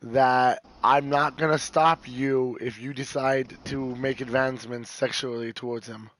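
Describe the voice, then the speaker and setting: A man with a rough voice streaming a football video game, joking and swearing with friends on voice chat. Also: mid-range voice, steady tone, measured pace, a bit bright, slight background noise, rough recording. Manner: joking, teasing, swears freely. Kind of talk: casual chat with friends while gaming.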